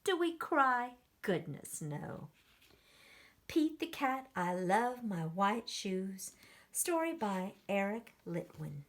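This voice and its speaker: A woman teacher reading a picture book aloud to children, animated, with gasps and questions to the kids. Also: medium pitch, slow pace. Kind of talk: children's story read-aloud.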